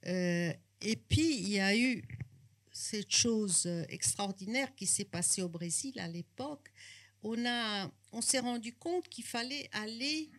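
Older author, slow and reflective, handheld mic in a bookshop. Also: female voice, mid-range voice, expressive. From Brazil. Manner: unhurried, reflective, hesitant restarts. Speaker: author and psychoanalyst. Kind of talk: bookshop talk. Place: bookshop, indoors.

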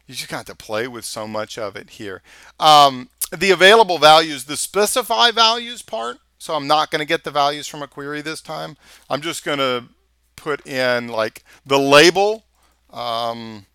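Bright-voiced Texan man teaching software. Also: fairly high pitch, clean tone, dry recording, clean studio sound. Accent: Texas. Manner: casual, thinking aloud while clicking. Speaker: software instructor. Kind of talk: screencast tutorial walkthrough. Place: voice-over of a screen recording.

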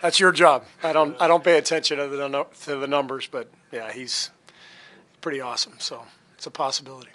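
Bright-voiced coach at a press table. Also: male voice, medium pitch. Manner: relaxed, halting, many fillers and restarts. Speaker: basketball head coach. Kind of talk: postgame press conference answers. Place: press room before a sponsor backdrop. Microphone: table mic on a stand.

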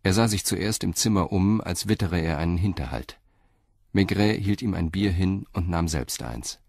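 A man narrating a radio crime play in a calm, measured storytelling manner. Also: sits low, gravelly, dry recording, clean studio sound. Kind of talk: scripted audio drama narration.

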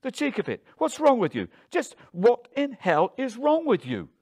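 A man's voice: on the low side, very animated, slow pace, full character voice. A man voicing an indignant middle-aged wife in an audiobook, exasperated and haughty as she scolds. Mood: outraged.

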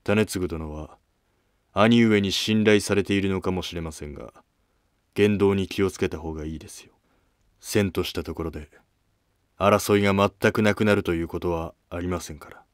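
Guarded former-monk prince acted by a man, deep dry male voice, formal and curt, historical drama. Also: moderate pace, dry recording, close to an everyday voice. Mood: guarded, stern. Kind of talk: scripted historical drama dialogue.

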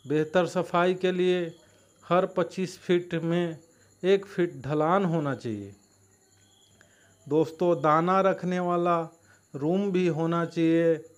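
Slow, higher-pitched man narrating instructions. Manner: halting, broken into short phrases. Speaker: farming video narrator.

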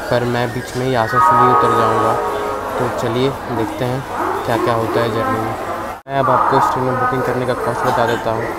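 A young man, casually narrating a train travel vlog in a chatty, steady way. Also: medium pitch, poor audio. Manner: chatty, steady, plain delivery. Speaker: train vlogger. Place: inside a train and rooms. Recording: loud train and vehicle noise.

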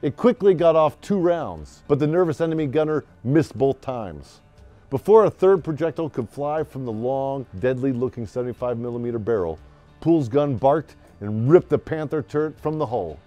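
Male American storyteller-host, animated and mellow, brash comic swagger, scripted dramatic narration. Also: medium pitch, normal speed. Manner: theatrical, brash, tongue-in-cheek. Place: small wood-panelled room, indoors. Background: faint music.

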